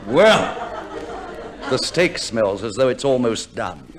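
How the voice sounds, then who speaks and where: An actor playing a grumbling, exasperated army major and put-upon husband in a 1950s radio comedy. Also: male voice, medium pitch, very animated, slow pace, some chatter behind. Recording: old radio recording, faint noise, clicks.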